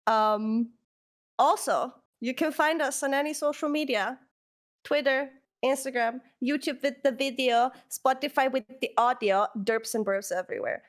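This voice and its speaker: A woman's voice: high voice, normal speed. Teasing, joking podcast co-host woman. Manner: joking, teasing, laughs a lot. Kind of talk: casual podcast chat.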